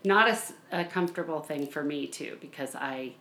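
A woman in her forties with a low, nasal voice, chatting casually and self-deprecatingly as a mother on a podcast. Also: normal speed, sharp tone, a little hiss or hum. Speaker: mother of the host, podcast guest. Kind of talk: casual chat. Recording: roomy echo.

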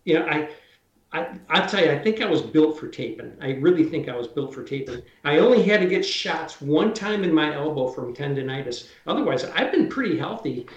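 A man's voice: a little high, expressive, brisk, roomy sound. A man in his sixties, a drywall taper, chatting casually as an interview guest and explaining his work in hands-on detail. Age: 60s.

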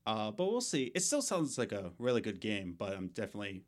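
A man's voice: fairly low pitch, wildly swooping pitch, quick pace. A young man co-hosting a casual gaming podcast, rambling with lots of fillers and restarts. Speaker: podcast co-host.

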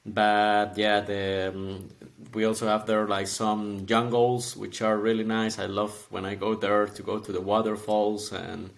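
A man's voice: lowish voice, little pitch movement, a little slow, creaky. Costa Rican man, friendly and chatty. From Costa Rica. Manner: friendly, chatty, laughs often. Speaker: podcast guest, calisthenics athlete. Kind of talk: casual interview.

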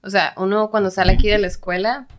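A young Mexican woman with a Mexican accent, chatting casually on a podcast as a guest and telling lively stories with frequent laughter. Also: mid-range voice, fast. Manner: animated storyteller, laughs often.

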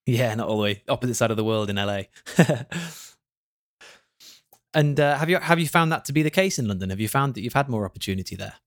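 A man hosting a podcast, reading a smooth scripted intro and then asking relaxed, friendly interview questions. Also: medium pitch, fast. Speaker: podcast host.

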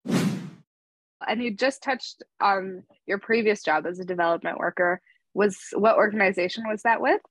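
A woman's voice: medium pitch, unhurried, no room sound, low-quality recording. Eager young woman interviewer, dry sound. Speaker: student interviewer. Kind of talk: casual interview.

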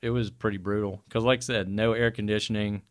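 Low-voiced Southern guy, blunt and sweary. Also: fairly even intonation, brisk. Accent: Southern American. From American South. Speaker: touring band musician being interviewed. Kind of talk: casual chat, storytelling.

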